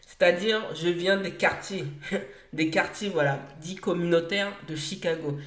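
A woman's voice: on the low side, a little slow, reverberant. A woman from France hosting a solo podcast, speaking earnestly in short emphatic phrases with the odd hesitation. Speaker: podcast host.

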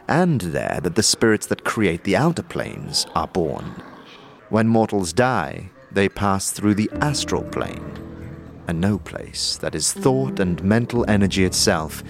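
A man voicing a patient, learned sage in a fantasy audio drama, lecturing formally and deliberately. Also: sits low, gravelly, heavily acted. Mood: patient, grave. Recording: music behind the voice.

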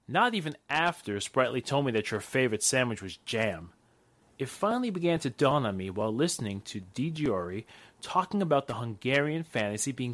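Guy doing sharp confident 40s radio play hero, figures things out. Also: mid-range voice, close to an everyday voice. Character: sharp, confident 1940s man who solves puzzles. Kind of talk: 1940s radio drama acting.

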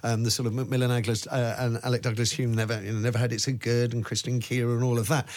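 A man hosting a talk radio show, interviewing guests in a lively, chatty way with jokey asides. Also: mid-range voice, fast talker. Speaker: radio host.

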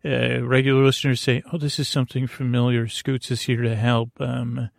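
A man hosting a sleep podcast with a creaky voice, rambling gently and haltingly through soothing, slightly silly tangents. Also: mid-range voice, little pitch movement, no room sound, clean studio sound. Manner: meandering, halting, frequent tangents. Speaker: podcast host. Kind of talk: rambling bedtime monologue to lull listeners to sleep.

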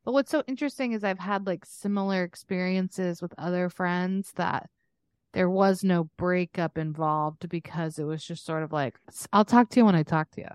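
An American woman in her thirties chatting casually as a podcast co-host, rambling and quick to laugh. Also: lowish voice, smooth, dry recording, studio quality. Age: 30s. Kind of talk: casual chat.

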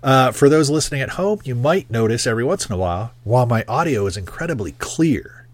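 A man's voice: medium pitch, expressive, a little fast. A man hosting a casual movie podcast, his voice sounding stuffed up and nasal while recovering from nose surgery, talking in an easygoing way with frequent fillers. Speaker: podcast host.

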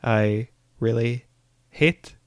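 A man's voice: mid-range voice, very slow, no echo, studio quality. A man co-hosting a podcast, giving his opinions and recommendations in casual chat. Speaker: podcast co-host.

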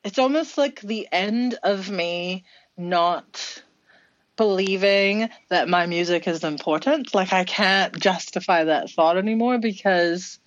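Giggly low-voiced woman, dull dry sound. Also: dry recording. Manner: giggly, rambling with many fillers and restarts. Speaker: musician guest. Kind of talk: casual interview chat.

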